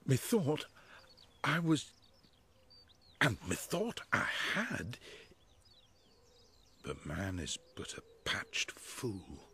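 Pompous bumbling tradesman, very slow, husky, swooping intonation, radio Shakespeare. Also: medium pitch, huge pitch swings, sharp tone, heavily acted. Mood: awestruck, bewildered. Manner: slow, halting, awestruck declaiming. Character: Bottom, a bumbling pompous weaver waking from a dream. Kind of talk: British radio drama, theatrical Shakespeare soliloquy.

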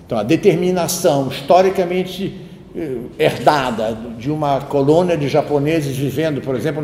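A man's voice: fairly high pitch, darkish tone. Lecturing man in an echoey hall. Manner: discursive, digressive, with frequent tag questions. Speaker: university lecturer. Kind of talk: spoken lecture. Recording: roomy hall with faint ambient noise.

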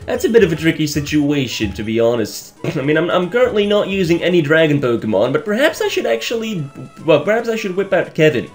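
A young man with a Belgian accent, raspy from just waking up, giving rambling, joking commentary over a game he is playing and often stumbling over his words. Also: on the high side, big pitch swings, fast talker, soft background music. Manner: rambling, stammering restarts, joking, laughs often. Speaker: let's play host. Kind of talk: casual gameplay commentary.

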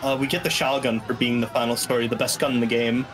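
A man's voice: mid-range voice, flat delivery, fast talker, crowd noise, low-quality recording. A man giving casual, rambling, wry commentary as the runner in a video game speedrun. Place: small room at home, indoors. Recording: game music behind the voice. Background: video game soundtrack music.

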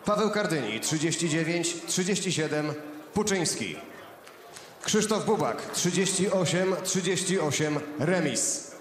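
A man's voice: animated, a little hiss or hum. A man announcing the judges' scores and the winner in a boxing arena, drawing out his words. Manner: drawn-out booming announcements. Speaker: ring announcer. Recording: echoey arena hall, heard from afar.

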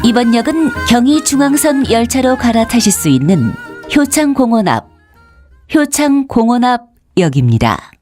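A woman's voice: a little high, sing-song, moderate pace, over music. A woman reading scripted station announcements as a subway announcer.

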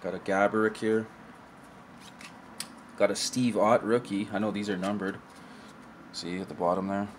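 A man's voice: on the low side, little pitch movement, normal speed, long pauses, a little hiss or hum. A young man casually showing off old collectible cards in a room at home, talking offhand into a camera mic on the table and often trailing off. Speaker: card collector vlogger. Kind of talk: casual card showcase commentary. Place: indoor room with shelf of collectibles.

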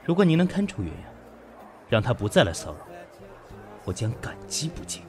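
An actor playing a composed, proud businessman in his thirties in a Chinese audio drama, measured, dry and cutting. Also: medium pitch, big pitch swings, natural voice. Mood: polite but defiant. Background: background music.

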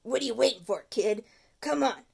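A woman voicing a greedy, wisecracking male sweet tooth in a storybook, loud and pushy. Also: fairly high pitch, moderate pace, creaky, breathy edge, heavily acted.

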